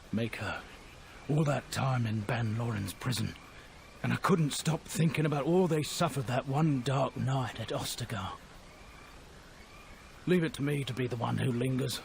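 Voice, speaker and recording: Wounded game soldier facing death, tired and regretful, mid-pitched. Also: male voice, creaky, a little hiss or hum. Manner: weary, regretful, earnest. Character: wounded male soldier, the king's former guard, resigned to death. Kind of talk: video game cutscene acting.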